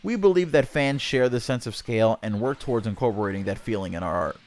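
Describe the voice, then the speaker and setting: A man with a mid-pitched voice hosts a gaming news segment. He reads from a prepared script, narrating an interview for viewers. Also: lively intonation, fast talker, darkish tone. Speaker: gaming news channel host.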